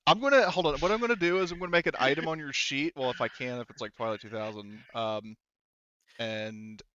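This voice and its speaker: A man's voice: mid-range voice, fairly quick, a bit bright, muffled recording. A man refereeing a casual tabletop game as game master, thinking aloud as he explains the rules.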